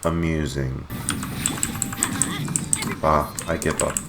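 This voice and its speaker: A man's voice: rumbling low, level tone, takes it slowly, vocal fry, heavy background noise. A man giving casual, offhand reaction commentary as a gaming streamer, muttering over gameplay. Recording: loud game music behind.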